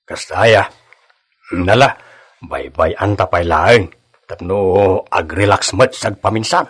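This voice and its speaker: A man's voice: sits low, expressive, slow pace, a bit bright, breathy edge, exaggerated voice. An actor with an Ilocano accent playing a chatty, teasing father and friend in a radio drama. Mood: fatherly.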